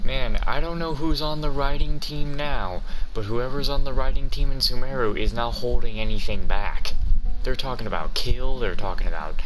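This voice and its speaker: A man's voice: mid-range voice, moderate pace, noisy background. A man hosting a video game playthrough with casual, blunt commentary, game music behind him. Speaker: gaming host. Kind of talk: casual playthrough commentary, reading dialogue choices aloud.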